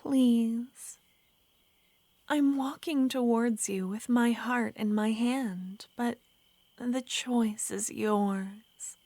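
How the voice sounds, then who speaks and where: A woman voicing an ancient, lovesick female vampire who pleads softly and slips into whispers, in an ASMR roleplay. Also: fairly high pitch, fairly even intonation, very slow, dry recording, heavily acted. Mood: pleading. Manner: soft, pleading, drifting into whispers.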